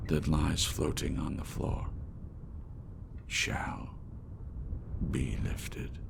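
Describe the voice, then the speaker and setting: A man speaking as a reader and host of his own audiobook channel, with rain and thunder behind him. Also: gravelly. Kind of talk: gothic poem narration and informal outro. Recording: faint rumbling rain and thunder noise. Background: rain and thunder sounds.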